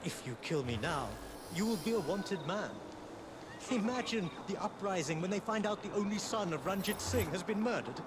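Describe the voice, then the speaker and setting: Proud, higher-voiced prince. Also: male voice, expressive, moderate pace, heavy background noise. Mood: quiet pleading. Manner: formal, dignified, earnest. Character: proud, principled exiled Indian prince, earnest man. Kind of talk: video game cutscene acting. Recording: loud cinematic music behind. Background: loud cinematic music.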